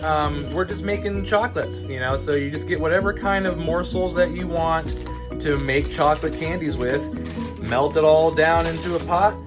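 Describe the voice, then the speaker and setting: A man hosting a casual movie vlog, chatty and emphatic, with a jokey streak. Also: on the high side. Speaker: host of a movie night vlog. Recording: loud music bed under voice. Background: music.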